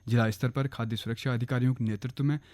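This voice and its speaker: A man's voice: medium pitch, fairly even intonation, fast talker, a little mellow. A man with a Kumaoni accent reading a scripted regional radio news bulletin.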